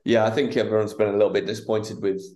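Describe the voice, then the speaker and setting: A man's voice: on the low side, little pitch movement, rapid-fire, a little mellow. A man hosting a podcast, asking rambling questions with restarts in a casual interview. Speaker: podcast host.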